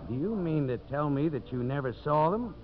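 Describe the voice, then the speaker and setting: An actor playing a slick, fast-talking promoter and boss with a commanding salesman's patter, in 1950s radio drama style. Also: fairly high pitch, expressive, natural voice. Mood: brisk, confident. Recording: dull, hissy 1950s radio broadcast recording.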